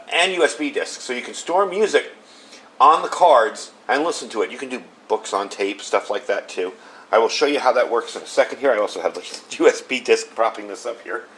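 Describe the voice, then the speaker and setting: A man giving a casual hands-on gadget review, explaining things step by step in an informal way. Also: medium pitch. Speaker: product reviewer. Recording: clear steady background noise.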